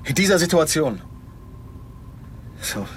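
An actor playing an evasive, reckless middle-aged police detective in a German radio drama, stammering and repeating words under pressure. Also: male voice, fairly high pitch, very animated, takes it slowly. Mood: remorseful, pleading. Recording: noisy car interior ambience.

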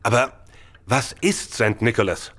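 An actor playing an uneasy, shady small-time errand runner in a radio drama, hesitant and colloquial. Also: male voice, mid-range voice, expressive, unhurried, a bit bright. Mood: reluctant, wary.

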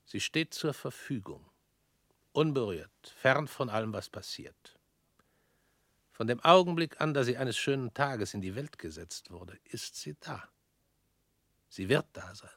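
An actor in a radio play voicing an intense former interrogator, moving between hushed whispers and raised declamation. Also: male voice, mid-range voice, dry recording, clean studio sound. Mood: brooding. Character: former interrogator turned self-declared criminal, man, intense. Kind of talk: radio drama acting.

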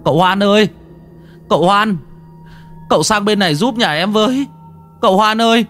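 A man's voice: high-pitched, moderate pace, fairly bright tone, faint music behind, pitched above the performer's own voice. A man voicing a panicked young village husband, pleading for help. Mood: calling out.

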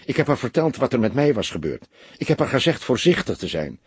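A man's voice: mid-range voice, very animated, a little fast, husky, dry recording. A man voicing a young unmarried mother, upset, defensive and bitter about men, in an audiobook. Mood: resigned. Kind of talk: audiobook character dialogue.

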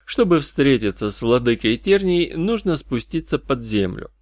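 A man's voice: mid-range voice, big pitch swings, moderate pace, darkish tone, dry recording. Male audiobook narrator, dry close recording. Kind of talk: scripted first-person detective fantasy narration.

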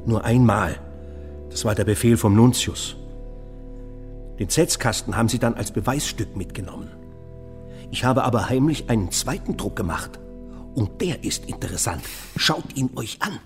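A man acts an honest, worried master printer in a historical radio play. He has a medium-pitched male voice with very varied intonation. He sounds hesitant yet insistent. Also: animated, moderate pace, long pauses, music in the background, close to an everyday voice. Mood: urgent, secretive. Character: earnest, anxious male master printer of the Reformation era. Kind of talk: German radio play acting.

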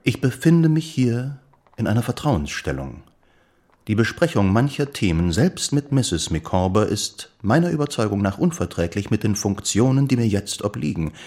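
Pompous, husky, very animated gentleman. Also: fairly low pitch, normal speed. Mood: self-important. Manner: pompous, florid, ceremonious. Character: pompous, formal, wordy gentleman in confidential employment. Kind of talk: German radio drama acting.

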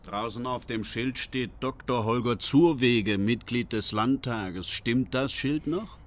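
An actor playing a dry, pointed police investigator in a radio drama. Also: male voice, mid-range voice, slow pace, lightly acted. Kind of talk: naturalistic radio drama acting.

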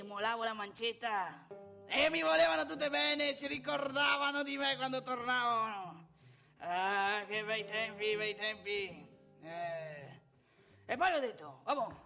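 Woman playing a creaky, lusty old man. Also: on the high side, sharp tone, exaggerated voice. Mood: boastful, insistent, then nostalgic, proud. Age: older. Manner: rambling, emphatic, addresses listener with rhetorical questions. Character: lusty, nostalgic, boastful old Italian man. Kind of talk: theatrical comic monologue for radio theatre.

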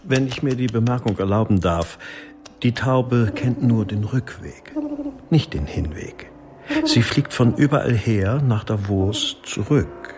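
A man's voice: mid-range voice, expressive, a little slow, lightly acted. A courteous, formal hotel director in a radio play, played by an actor. He speaks politely, with faint music behind. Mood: politely firm.

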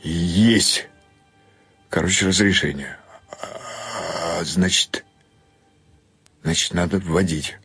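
A man's voice: deep voice, vocal fry, a bit bright, faint music behind, exaggerated voice. A man voicing a hesitant, easily flustered middle-aged caretaker in a radio story reading. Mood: stunned, halting. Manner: halting, hesitant phrasing.

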